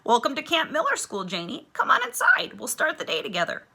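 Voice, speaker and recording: A stout, cheerful schoolteacher greets a new pupil with booming, exclaiming heartiness, put on by a woman reading a story aloud. Her voice leaps high above the reader's own and rings bright and forceful. She sounds delighted by everything. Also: huge pitch swings, brisk, creaky, heavily acted. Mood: warm.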